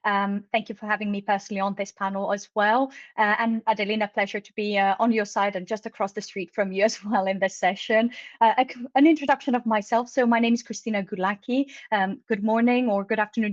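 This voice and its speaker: A woman speaking on a webinar panel, friendly and fluent, often pausing on small fillers. Her voice comes over a dull video-call line in a dry, close room. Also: mid-range voice, steady tone, dry recording. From Luxembourg. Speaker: HR recruitment officer, webinar panelist. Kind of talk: online panel talk.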